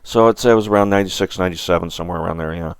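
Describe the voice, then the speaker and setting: A middle-aged man hosting a gaming livestream, chatting casually in a rambling, halting way. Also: low voice, clean tone.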